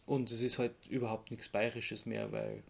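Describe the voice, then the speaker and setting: A man co-hosting a casual podcast, halting and correcting himself as he recounts things and gives firm opinions. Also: lowish voice, flat delivery, vocal fry. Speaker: podcast co-host.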